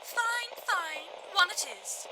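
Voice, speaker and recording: A woman playing a nosy, excitable gossip phoning a radio show, theatrical and prying, on a noisy line. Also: very high voice, slow. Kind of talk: old-time radio comedy acting.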